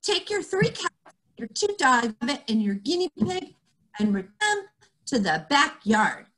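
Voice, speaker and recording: A patient, wise storybook rabbi, spoken by a woman reader, giving advice in a measured, steady manner. The voice sits a little high and unhurried. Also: heavily acted. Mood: calm. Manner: measured and instructive. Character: calm wise female rabbi.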